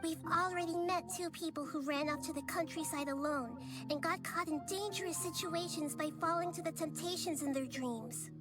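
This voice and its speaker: Little girl goddess, super high voice, calm and earnest, game music under. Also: normal speed, few pauses. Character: small girl goddess, gentle and wise. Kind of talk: game voice acting.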